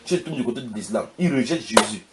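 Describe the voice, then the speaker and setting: A man hosting a religious talk, speaking fast and emphatically as he questions his guest and repeats his words. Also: on the low side, expressive, heavy background noise. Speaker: host, preacher.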